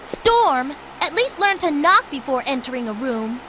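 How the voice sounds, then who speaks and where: Sassy scolding swallow girl, very high bright voice, lively, game cutscene. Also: measured pace, slight background noise, full character voice, rough recording. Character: sassy female swallow, gang mechanic.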